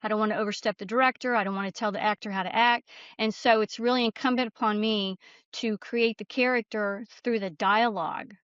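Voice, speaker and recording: A woman's voice: mid-range voice, fairly even intonation, brisk, fairly bright tone, smooth, dry recording. A middle-aged woman giving an interview as a guest, telling her story in an earnest, candid, reflective way, on slightly lo-fi audio. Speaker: guest author and screenwriter.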